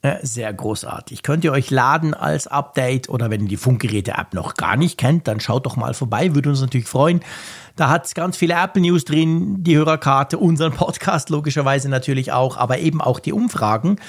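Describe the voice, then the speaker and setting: A man with a slightly hoarse voice, chatting as co-host of a podcast and laughing often. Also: medium pitch, fairly quick. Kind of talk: casual chat.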